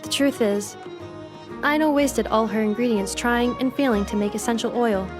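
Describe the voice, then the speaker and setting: Lady doing a polite robot girl in a game, highish voice, precise and unruffled, music behind. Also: moderate pace, close to an everyday voice. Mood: unruffled, dry. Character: polite, matter-of-fact female robot companion. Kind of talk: video game voice acting.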